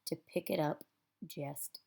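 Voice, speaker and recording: A woman reads a children's picture book aloud in an expressive, theatrical way, with dramatic pauses and emphasis. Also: low-pitched, creaky.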